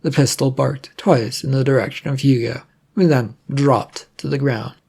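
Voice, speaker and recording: Husky, gravelly story narrator. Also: mid-range voice, steady tone, normal speed, a little mellow. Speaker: audiobook-style narrator. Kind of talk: scripted narration of an adventure story.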